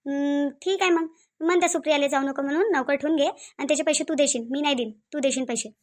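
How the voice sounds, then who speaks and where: Woman voicing a high, scolding Varhadi mother-in-law. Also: steady tone, quick pace, lightly acted. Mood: grudging, scolding. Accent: Varhadi Marathi. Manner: scolding, accusatory, rhetorical questions. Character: quarrelsome, grudging mother-in-law, mother of grown sons. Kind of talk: cartoon family drama.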